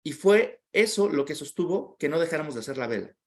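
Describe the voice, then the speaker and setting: A man's voice: fairly high pitch, very animated, a little fast. Reflective man sharing his story. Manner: heartfelt and reflective, repeats words while searching. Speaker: interview guest. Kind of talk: personal storytelling in conversation.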